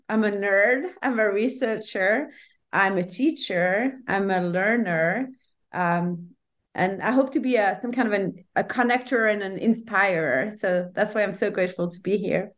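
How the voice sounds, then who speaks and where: A woman speaks as an academic guest in a conversational interview. Her voice sits at a middle pitch. She thinks things through aloud, often pausing to find the right word. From Brussels, Belgium. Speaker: professor, podcast guest.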